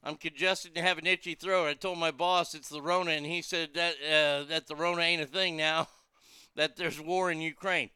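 Brash, bright-voiced talk radio host. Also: male voice, a little high, steady tone, moderate pace, smooth, no room sound. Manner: loose, irreverent, crude, reads listener messages aloud. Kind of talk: casual talk radio banter.

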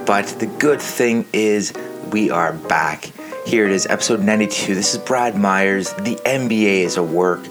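A man in his thirties hosting a casual podcast, raspy and hoarse from the flu, animated and joking. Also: fairly low pitch, normal speed, over music. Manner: animated, laughs and jokes, mimics others. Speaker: podcast host. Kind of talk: casual podcast chat over beer.

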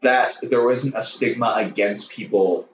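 A man in his twenties from Hong Kong, a podcast guest talking haltingly with many restarts and jokes. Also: mid-range voice, a little hiss or hum. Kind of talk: casual interview chat. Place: home room with a dog. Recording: roomy, distant, dull sound.

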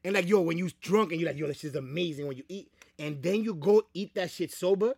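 A man's voice: a little high, fast talker, gravelly. A man co-hosting a casual podcast, joking in crude banter and tossing in Spanish phrases. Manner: joking, drops Spanish phrases, laughs often. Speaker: podcast co-host.

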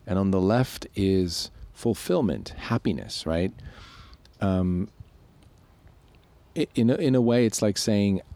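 A man's voice: lowish voice, expressive, takes it slowly, warm tone. A man from Switzerland co-hosting a podcast, explaining ideas in a loose, reflective way with many restarts. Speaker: podcast co-host. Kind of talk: casual podcast conversation.

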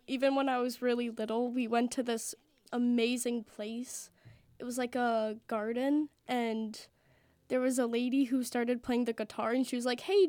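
A young girl from New Hampshire chats casually as an interview guest. Her voice is high, and the sound is dry. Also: darkish tone, dry recording. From New Hampshire, United States. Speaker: voice student being interviewed. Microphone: studio microphone with headsets.

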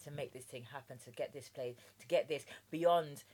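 A woman in her thirties with a London accent talks through her experience as a guest on a podcast. Her voice sits low and deep. She is chatty and frank, and she keeps checking in with "do you know what I mean". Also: moderate pace, darkish tone. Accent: London English. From Jamaica. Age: 30s. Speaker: guest entrepreneur. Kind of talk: casual podcast interview.

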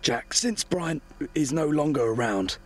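A man playing a grumpy older animal housemate in an audio comedy. He scolds fussily in a husky voice that jumps about in pitch, stern and easily put out. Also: expressive, unhurried. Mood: scolding. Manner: stern, fussy. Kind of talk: comedy audio drama acting.

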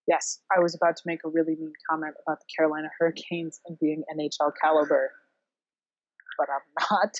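A woman's voice: deep voice, flat delivery, fast. A woman co-hosting a sports podcast, chatting casually with wry, flippant asides. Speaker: podcast co-host. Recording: dull, roomy, lo-fi recording.

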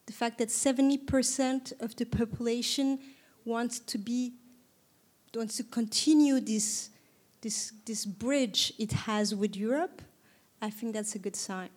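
A woman from Turkey in her thirties, speaking to an audience in a reflective way, with frequent pauses and restarts. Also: on the high side, darkish tone. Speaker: panel speaker. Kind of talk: conversational talk to an audience.

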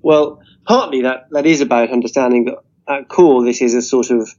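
Mellow-voiced man arguing his case. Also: medium pitch, moderate pace. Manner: earnest, argumentative, leans on "you know". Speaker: interview guest. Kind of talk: political discussion. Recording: dull lo-fi audio.

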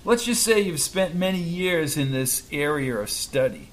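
A combative male reporter fires off blunt, sarcastic questions in a fairly high, direct voice, as a man reads him out. He never lets up. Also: expressive, moderate pace, lightly acted. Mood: pushy.

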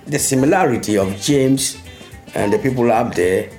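An older veteran musician from Ghana, reminiscing in an interview. He talks excitably and repeats words while searching for them. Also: mid-range voice, normal speed, faint music behind.

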